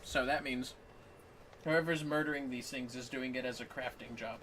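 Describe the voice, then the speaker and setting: A man's voice: mid-range voice, steady tone, moderate pace, pushed and bright, a little hiss or hum. A man chatting casually on a livestream and reading game text aloud with playful, exaggerated delivery. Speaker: livestream co-host. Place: home office. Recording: distant mic with music behind. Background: music.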